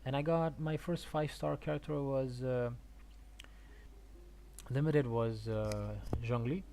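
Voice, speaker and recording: A man from Argentina chatting casually on a game stream, haltingly thinking aloud and repeating words. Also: medium pitch, fairly even intonation, creaky, mellow. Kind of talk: casual rambling stream chat about games.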